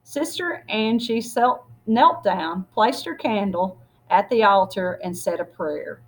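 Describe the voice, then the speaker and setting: Woman doing storytime read aloud, kinda husky voice, expressive. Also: medium pitch, slow, rough recording. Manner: expressive, acts out dialogue. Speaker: story reader.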